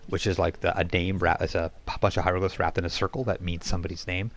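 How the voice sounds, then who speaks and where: A man narrating a tabletop roleplaying game as game master, in a halting, conversational way. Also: deep voice, level tone.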